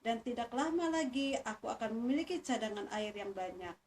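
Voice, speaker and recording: A woman's voice: on the high side, lively intonation, a little fast, darkish tone, natural voice. A woman voicing a sly, scheming turtle in a children's fable, coaxing and exclamatory with false cheer. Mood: excited scheming. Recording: distant mic, faint noise.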